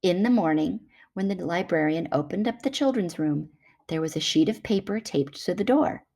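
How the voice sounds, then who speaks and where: A woman reading a children's picture book aloud in an animated storytelling manner. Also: lowish voice, normal speed.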